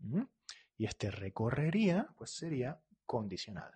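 A man leads a live online language lesson, reading viewers' comments aloud and correcting them as they come in. His voice is husky and sits in a middle pitch. He talks fast and keeps checking in with short tags like "¿vale?". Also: big pitch swings, a little mellow. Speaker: Spanish teacher.

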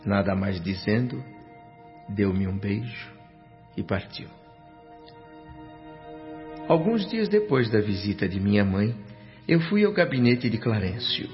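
A man's voice: on the low side, big pitch swings, slow, pauses often. An actor with a Brazilian accent playing an earnest, grateful spirit who narrates his own story in a radio drama, reverent and literary in manner. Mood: reflective narration. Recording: dull radio recording, faint music.

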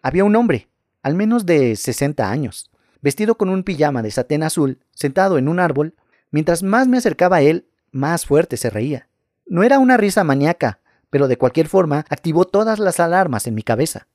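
A man reading scary first-person stories aloud in a calm, measured narration. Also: a little high, dry recording, clean studio sound.

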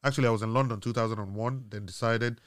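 A man's voice: mid-range voice, fairly even intonation, quick pace, dry recording, clean studio sound. A man from Nigeria, a podcast guest, speaking in a laid-back way, filling with "you know". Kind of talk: casual interview talk.

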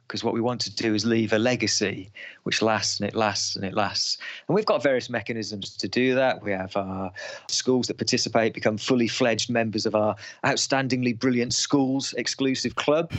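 A man's voice: mid-range voice, steady tone, normal speed, a bit bright, dry recording. A man in his forties, a podcast guest who talks about his schools programme in an enthusiastic, motivational way, with hesitations and restarts. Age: 40s. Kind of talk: casual podcast interview.

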